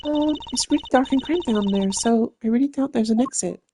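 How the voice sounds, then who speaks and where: A man's voice: very high-pitched, a little fast, warm tone, slight background noise, voice pushed up. A man voicing a cheerful, impulsive young thief girl in a video game, with a bubbly, exclaiming delivery. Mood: excited.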